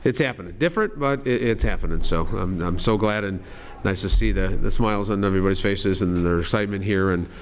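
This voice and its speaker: A man, a school district administrator, runs a public board meeting in a meeting room, talking in a friendly, halting way with many "uh"s and restarts. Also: low-pitched, slight background noise.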